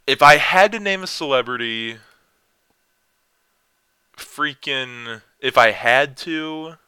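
A young man with a deep yet bright, clear voice talks slowly into a close, dry desk mic. He rambles, trails off and restarts, pitch swinging widely as he jokes at his own expense. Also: no room sound. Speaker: vlogger answering viewer questions. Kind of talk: casual rambling chat.

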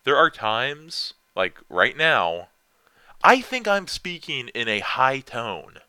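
A young man with a deep yet bright, clear voice talks slowly into a close, dry desk mic. He rambles, trails off and restarts, pitch swinging widely as he jokes at his own expense. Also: sing-song, dry recording. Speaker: vlogger answering viewer questions. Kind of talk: casual rambling chat.

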